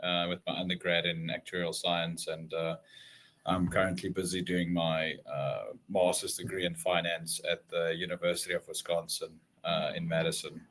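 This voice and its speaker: A man's voice: low voice, normal speed, slight background noise. A man in his twenties whose first language is Afrikaans, from South Africa, talking casually in a webinar interview with many fillers. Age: 20s. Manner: relaxed, many fillers and restarts. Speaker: guest, former college athlete.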